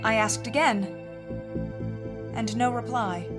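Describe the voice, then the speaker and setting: A woman playing a haunted mother in her forties, telling a first-person horror story in a measured, earnest, confiding way. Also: fairly high pitch. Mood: sober, confiding. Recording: music bed under the voice.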